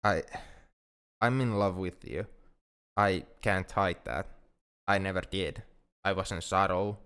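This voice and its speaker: A man playing an anxious, lovesick alien friend in an ASMR roleplay, speaking in a halting, earnest, formally worded way. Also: sits low, fairly bright tone, clean tone. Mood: anguished. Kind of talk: ASMR roleplay, intimate dramatic monologue.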